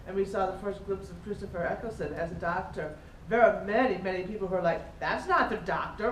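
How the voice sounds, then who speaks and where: A woman's voice: mid-range voice, slight background noise. A woman speaking on a convention panel as an organizer, earnest and opinionated. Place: large convention hall. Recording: echoey hall, far from mic.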